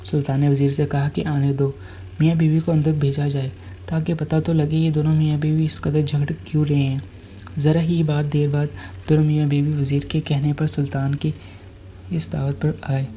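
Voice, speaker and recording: A story narrator with a very dark, soft-edged voice reads a script in a level, little-varied tone. Words are sometimes stumbled on and repeated. There is faint noise behind the voice. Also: medium pitch, level tone, normal speed. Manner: stumbles over and repeats words while reading.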